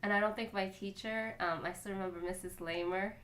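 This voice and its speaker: A woman from Korea, a violinist, telling stories about growing up in a relaxed, chatty way. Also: mid-range voice, a little fast. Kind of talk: casual storytelling chat.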